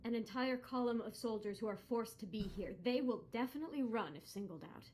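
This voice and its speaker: A woman's voice: fairly high pitch, brisk, darkish tone, slight background noise, natural voice. An actress voicing a devoted, eager and menacing young female Sith apprentice in a video game. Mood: sinister, savoring.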